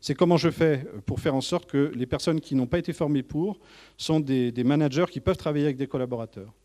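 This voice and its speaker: A man giving an informal professional presentation to colleagues as a manager, speaking in a halting way with frequent false starts. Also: a little high, dark tone. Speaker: tourist office manager presenting.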